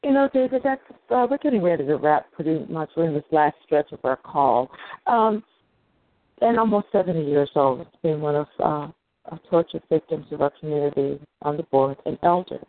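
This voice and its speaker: A woman moderating a conference call, putting informal, halting questions to a caller. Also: deep voice, expressive. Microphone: telephone. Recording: narrow, muffled phone line.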